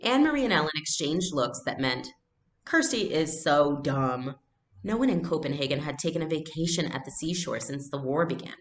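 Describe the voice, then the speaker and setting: A woman teacher reading a storybook aloud in an expressive, explanatory way. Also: low-pitched, quick pace, few pauses.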